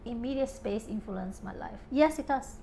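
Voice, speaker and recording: A woman's voice: on the high side, lively intonation, moderate pace, vocal fry, mellow, slight background noise. A woman from Hong Kong talking casually in an interview about her home and design, with many fillers and frequent laughs.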